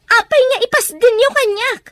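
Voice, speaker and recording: A woman's voice: extremely high pitch, moderate pace, a bit bright, no room sound, studio quality. A woman with an Ilocano accent playing a hurt, pleading young daughter in a radio drama, with a plaintive, emotional delivery.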